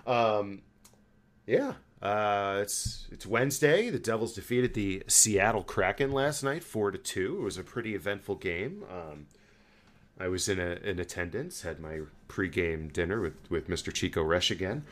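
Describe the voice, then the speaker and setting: A man with a low-pitched voice hosts a casual sports podcast. He talks in a loose, conversational way, feeling his way through sentences with frequent halts. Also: lively intonation, normal speed. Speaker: podcast host.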